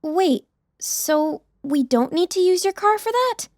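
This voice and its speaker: A curious, hopeful girl in an audio drama. Her voice is quite high and informal, in a dry, close recording. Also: lively intonation, takes it slowly, dry recording, heavily acted. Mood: casual. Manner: informal, questioning.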